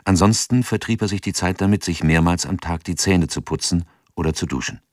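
An actor as a sober chronicler, narrating a documentary radio drama from a script. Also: male voice, deep voice, brisk, a little mellow, no room sound, studio quality, natural voice. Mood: sober, factual. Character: sober male chronicler of a crime case.

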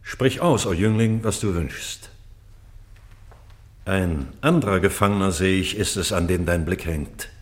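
Old king, deep, slow, solemn, declamatory, remorseful, commanding, classical radio drama. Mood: regal, commanding. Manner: declamatory, solemn, breaking into hushed tones.

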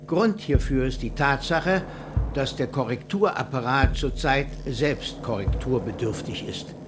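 A man's voice: mid-range voice, a little slow, background noise, lightly acted. A man playing a stiff official announcer. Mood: formal. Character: formal male announcer of the ruling committee. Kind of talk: radio play acting.

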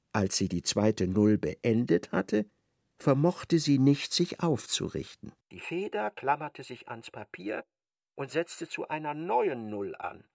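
A man's voice: on the high side, gravelly, darkish tone, no echo, studio quality. A man narrating a literary radio drama.

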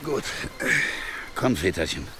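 A man's voice: low voice, very animated, slow, background noise, full character voice. An actor playing a cruel, mocking man in a German audio drama. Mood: sneering. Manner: sneering, taunting. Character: cruel mocking man who begs when doomed. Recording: loud music behind the voice.

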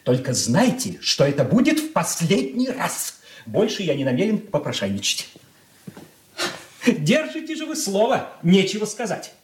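An actor playing a petty, self-important son-in-law in a radio drama, fussy, pompous and querulous. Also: male voice, sits high, huge pitch swings, normal speed, a little hiss or hum. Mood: indignant. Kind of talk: Soviet radio drama stage acting. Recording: echoey studio, distant from mic.

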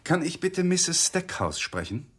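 An actor playing an evasive, weary young married lawyer, hesitant and guarded, in radio play dialogue. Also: male voice, fairly high pitch, sing-song, unhurried, fairly bright tone, husky, heavily acted. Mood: polite, uneasy.

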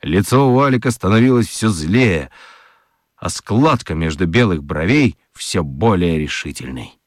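A male narrator tells a children's story for radio. His low voice moves in lively swings, with dramatic pauses, in a dry, close recording. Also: dry recording.

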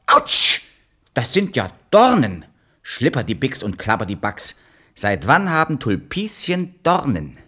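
Cheeky puppet-theatre fool, very animated, playful, good-hearted, radio play, brass music behind. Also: male voice, medium pitch. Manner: playful, exclaims silly nonsense oaths.

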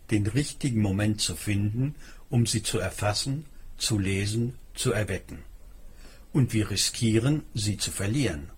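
A man reading a scripted documentary narration in a measured, explanatory manner. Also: fairly low pitch, breathy edge.

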